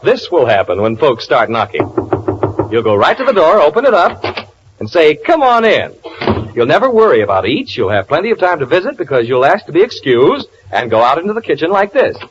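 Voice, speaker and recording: Old radio ad guy, lively swoopy folksy pitch, hissy muffled recording. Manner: folksy, upbeat salesmanship. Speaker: commercial announcer. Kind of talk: 1940s radio advertising pitch. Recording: noisy, dull 1940 radio recording.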